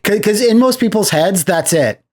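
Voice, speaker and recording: A man co-hosting a podcast, casually discussing movies in a halting way, often repeating phrases as he explains. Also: high-pitched, animated. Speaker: podcast co-host.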